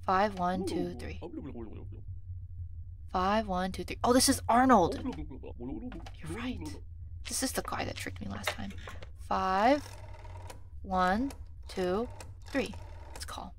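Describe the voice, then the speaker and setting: A woman streaming a game, whose first language is Korean, thinks out loud as she plays. She reads numbers and lists under her breath between chatty asides, with a mid-range voice. A faint hiss sits under her desk mic. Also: mid-range voice, slow pace, long pauses. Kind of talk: casual gameplay commentary.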